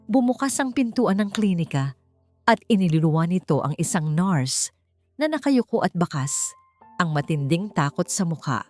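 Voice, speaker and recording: A woman narrator reading a scripted dramatic story in a storytelling style. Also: fairly low pitch. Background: music.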